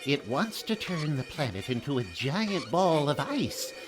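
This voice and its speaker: Man playing a stammering, high-pitched skeptical everyman. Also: very animated, unhurried, over music. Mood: bemused, skeptical. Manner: stammering, hesitant, dryly incredulous. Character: bemused, skeptical, hesitant everyman sidekick. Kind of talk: audio drama acting.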